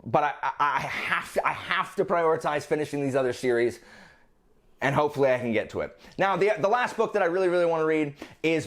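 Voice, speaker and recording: A man's voice: a little high, fairly bright tone. A man talking casually and enthusiastically to viewers as a book vlogger, repeating words for emphasis. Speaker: book reviewer presenting a monthly reading list on his channel. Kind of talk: casual, chatty vlog talk to viewers.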